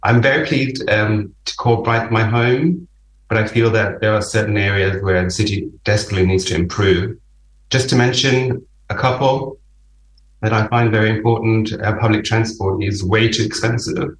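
A man's voice: lowish voice, steady tone. A man from Chile raised in Germany, a local election candidate giving an earnest prepared statement with a few restarts. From born in Chile, raised in Germany. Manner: earnest, slightly halting with restarts. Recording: roomy, distant-mic recording.

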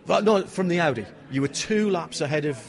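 A man's voice: fairly high pitch, expressive, a little fast. A man hosting a casual interview, eagerly jumping in with questions and facts. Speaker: interviewer host.

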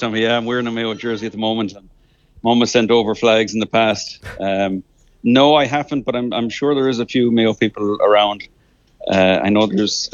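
A man's voice: lowish voice, no room sound. Irish man on a muffled phone line. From Ireland. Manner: hesitant, many restarts and fillers. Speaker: caller. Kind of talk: casual phone chat.

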